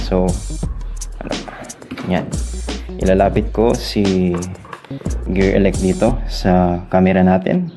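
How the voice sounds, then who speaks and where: A Filipino man with a low voice walks through a casual product tutorial. He speaks slowly and haltingly, searching for words and dropping in English terms, with music clearly playing behind him. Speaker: vlogger giving a tutorial.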